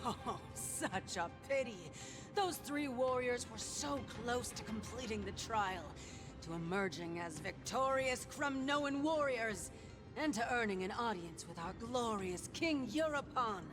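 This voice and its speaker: Haughty game villainess, grandiose, mocking, fairly high, unhurried and drawn-out, music behind. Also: female voice, animated. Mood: grandiose, mock pity. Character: haughty, theatrical, cruel female festival trial announcer. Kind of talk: video game character dialogue.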